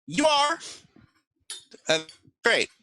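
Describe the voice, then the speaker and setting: A man running a tabletop roleplaying game as game master, narrating casually and haltingly with pauses. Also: very high voice, big pitch swings, bright, forceful tone.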